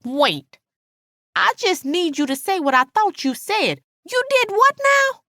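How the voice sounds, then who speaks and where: Woman performing furious betrayed woman, high-pitched, swooping, taunting, vengeful, emphatic, audiobook drama. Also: very animated, pushed and bright, no echo, clean studio sound, exaggerated voice. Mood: shocked, incredulous. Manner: taunting, indignant, drawn-out emphatic delivery. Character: enraged betrayed woman, loud, foul-mouthed and vengeful. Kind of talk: dramatic audiobook character dialogue.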